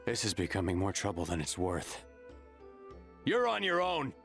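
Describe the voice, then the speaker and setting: A man's voice: lowish voice, wildly swooping pitch, normal speed, vocal fry, fairly bright tone, heavily acted. An actor voicing a smug, scheming henchman in a video game. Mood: annoyed. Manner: smug, taunting. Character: smug, scheming henchman, loyal to his boss. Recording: background game music.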